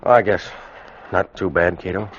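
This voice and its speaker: Deep-voiced haunted American sailor, played by a man. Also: fairly even intonation, a little hiss or hum, lightly acted. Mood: weary, uneasy. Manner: grave, haunted, measured storytelling. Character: weakened, fearful American sailor recording his doom. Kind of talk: first-person radio drama narration. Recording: muffled vintage radio recording, music behind. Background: dramatic music.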